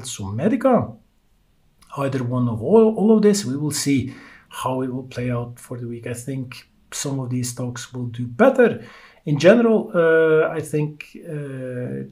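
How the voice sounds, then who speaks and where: Norwegian guy doing a solo stock podcast, english not his first language, lots of uhs, halting. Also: medium pitch, warm tone. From Norway. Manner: halting, many uh fillers, loose non-native phrasing. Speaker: podcast host.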